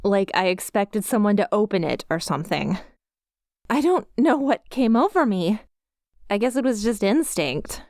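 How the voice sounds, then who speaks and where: A woman's voice: medium pitch, clean tone, dry recording, clean studio sound, close to an everyday voice. A woman voicing a ditzy, self-deprecating young woman in an ASMR roleplay, chatty, confiding and flustered as she talks to the listener.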